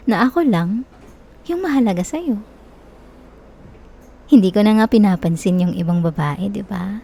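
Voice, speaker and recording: A young woman with a Filipino accent playing a possessive, manipulative, lovesick stalker in an intimate ASMR roleplay, softly coaxing and teasing with quiet menace. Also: on the low side, creaky. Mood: teasing, jealous. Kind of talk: Tagalog-style ASMR roleplay, intimate close address.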